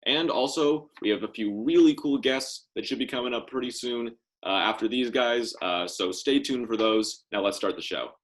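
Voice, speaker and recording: A man's voice: mid-range voice, normal speed, few pauses, a little hiss or hum. A young man co-hosting a podcast, introducing and questioning guests in a casual conversational style. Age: young adult. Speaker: podcast co-host, interviewer.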